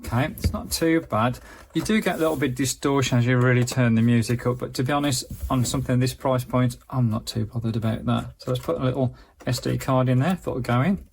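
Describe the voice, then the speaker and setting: A man with a creaky, gravelly voice gives a casual, explanatory product review. His pitch sits in an ordinary middle range, and faint background noise runs under his chatty demonstration. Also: a little fast, a little mellow.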